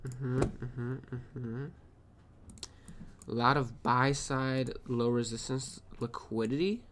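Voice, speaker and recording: A man's voice: medium pitch, slow, fairly bright tone. A man with a sniffly nose, reviewing his own trades aloud in a casual, profane recap, often muttering to himself.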